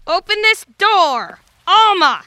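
A woman playing a cold, determined female villain in an audio drama, commanding and deliberate. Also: very high-pitched, sing-song, sharp tone, slight background noise, exaggerated voice. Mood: furious.

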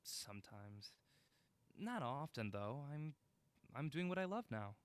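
A man's voice: mid-range voice, sing-song, measured pace, clean tone, dry recording. An actor playing a playful male film buff who teases his friend in a naturalistic audio drama. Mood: teasing. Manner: playful, needling banter. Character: teasing, upbeat male film buff, sound-business friend.

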